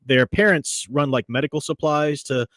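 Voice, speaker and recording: A man's voice: a little high, normal speed, a bit bright, no echo. A man hosting a podcast from home, chatting casually through a review with lots of fillers and restarts. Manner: rambling, many fillers and restarts. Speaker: podcast host reviewing an audio drama.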